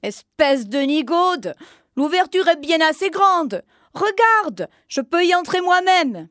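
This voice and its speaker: A woman's voice: very high-pitched, very animated, takes it slowly, fairly bright tone, no room sound, clean studio sound, exaggerated voice. A woman voicing a wicked, greedy old witch in a storybook reading, cackling, honeyed one moment and hissing or snarling the next. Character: wicked old female witch, greedy, cruel, child-eating.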